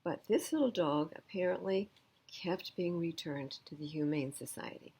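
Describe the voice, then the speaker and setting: Husky woman reading a children's story. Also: on the low side, normal speed. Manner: expressive, explaining simply to young listeners. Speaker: storytime reader. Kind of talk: children's storybook read-aloud with explanatory asides.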